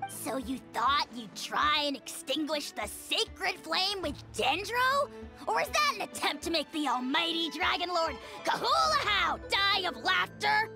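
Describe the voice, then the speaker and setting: A woman's voice: very high voice, very animated, measured pace, gravelly, over music, heavily acted. A woman voicing a tiny, arrogant dragon lord in a video game, pompous, sneering and full of grandiose scorn. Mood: mocking. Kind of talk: video game character dialogue.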